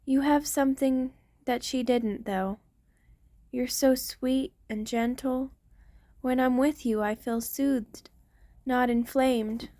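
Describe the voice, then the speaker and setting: Woman voicing centuries-old female vampire, fairly high pitch, gentle protective lover, formal phrasing, intimate ASMR roleplay, very dry sound. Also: steady tone, takes it slowly, no room sound. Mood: tender, loving. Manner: tender, soft-spoken, formal wording. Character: ancient female vampire queen, tender, protective, devoted lover.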